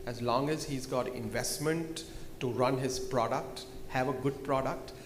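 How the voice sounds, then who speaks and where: Man, confident salesy panel speaker, roomy hall echo, loud background noise. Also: mid-range voice, a little slow. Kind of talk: conference panel discussion. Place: large event hall.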